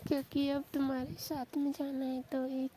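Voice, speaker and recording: A woman's voice: high voice, level tone, moderate pace, close to an everyday voice. A young woman playing an apologetic, affectionate newly married wife in a casual staged phone-call skit, explaining herself earnestly. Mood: happy, coy. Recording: noisy phone call recording.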